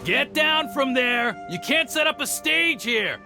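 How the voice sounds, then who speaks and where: A man's voice: very high voice, animated, a little slow, creaky, music in the background, exaggerated voice. An actor voicing a stern, disapproving middle-aged father who scolds in an exasperated way. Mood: scolding.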